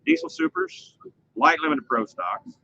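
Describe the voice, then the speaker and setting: A man's voice: on the high side, unhurried, vocal fry, breathy edge. A man co-hosting a casual live show outdoors at a fair, reading out the top finishers class by class. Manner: lively, reads out lists of results. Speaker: co-host recapping pulling results. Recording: slightly dull live stream sound with faint fair ambience.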